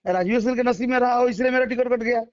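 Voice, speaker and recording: A man's voice: very high-pitched, fast talker, smooth, dry recording. A middle-aged man with a Bihari accent speaking emphatically and repetitively, in colloquial words, as a local politician in a phone interview. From Bihar, India. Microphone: phone call. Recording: dull lo-fi phone call recording.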